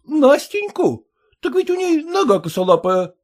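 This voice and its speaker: A man's voice: very high voice, very animated, unhurried, darkish tone, pitched above the performer's own voice, exaggerated voice. A man voicing a hearty, boisterous newly rich man playing host to friends.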